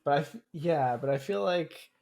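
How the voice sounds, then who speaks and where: A man's voice: fairly high pitch, normal speed, vocal fry, a little mellow. A man co-hosting a podcast in casual chat, rambling hesitantly and often repeating his phrases. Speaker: podcast co-host.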